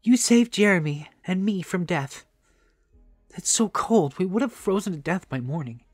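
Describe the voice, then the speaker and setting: Narrator voicing a high, timid young mother. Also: expressive, moderate pace, dry recording, clean studio sound, lightly acted. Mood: anxious, grateful. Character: grateful, timid young mother seeking shelter.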